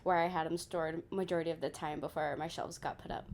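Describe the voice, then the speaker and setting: A woman's voice: low voice, fairly even intonation, fast talker, a little mellow. A young woman chatting casually to her viewers as she gives a room tour, talking to them directly. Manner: chatty, addresses viewers as you guys. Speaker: lifestyle vlogger.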